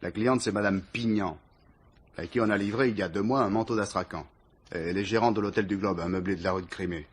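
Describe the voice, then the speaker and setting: An actor playing an eager junior police detective in a radio drama, husky, informal and matter-of-fact. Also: male voice, lowish voice, fast talker. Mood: brisk.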